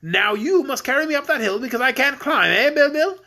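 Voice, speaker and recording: Giggly chatty fat old king, high and bouncy, reader doing the voice. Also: animated, a little fast, bright, forceful tone, full character voice. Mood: pleading. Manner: high, bubbly, keeps breaking into laughter. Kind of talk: audiobook character voice.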